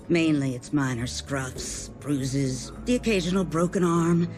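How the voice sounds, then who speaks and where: A woman playing a caring but firm, folksy small-town doctor in a video game. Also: sits low. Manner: folksy, plainspoken. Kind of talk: video game character dialogue. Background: music.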